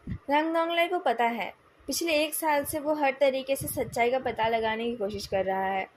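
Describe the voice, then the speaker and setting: A woman's voice: high voice, darkish tone, poor audio. A woman with an Indian accent reading out scripted narration as the storyteller of a novel. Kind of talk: scripted narration of a novel, retold with acted dialogue.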